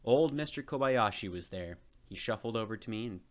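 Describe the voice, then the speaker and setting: A man's voice: lowish voice, expressive, a little fast. A man reading a children's storybook aloud as narrator. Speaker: storybook reader.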